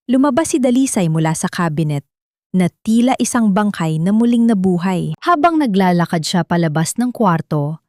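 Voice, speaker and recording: A woman's voice: lowish voice, darkish tone, no room sound, studio quality. A woman narrating a scripted audio drama in a dramatic storytelling style.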